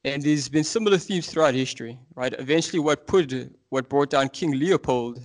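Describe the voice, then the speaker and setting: A man in his thirties from South Africa, with a South African accent, talking earnestly and at length as a podcast guest. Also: on the high side. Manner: earnest, fast-flowing, passionate explaining. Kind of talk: casual interview talk.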